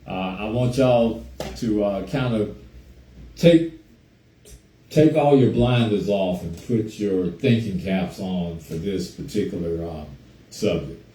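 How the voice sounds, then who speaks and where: A man hosting a casual talk show on a group call, hoarse from a cold, chatting easily and greeting listeners by name. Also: on the low side, takes it slowly, warm tone, roomy sound, distant mic, slight background noise, rough recording. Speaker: host.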